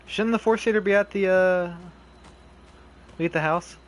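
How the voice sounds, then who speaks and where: A young man chatting casually and swearing while he plays a horror game with teammates, giving quick directions. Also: sits high, fairly even intonation. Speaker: streamer playing a horror survival game. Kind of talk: casual gameplay chat with teammates. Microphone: console headset mic.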